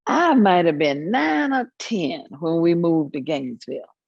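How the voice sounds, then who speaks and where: A woman's voice: lowish voice, animated, a little slow, creaky, darkish tone, no room sound, muffled recording, exaggerated voice. An actress playing an elderly Southern grandmother in a staged reading, reminiscing in a folksy way with thoughtful hums. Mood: fond reminiscing. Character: elderly Southern grandmother recalling her midwife mother. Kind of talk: staged reading of oral history monologue.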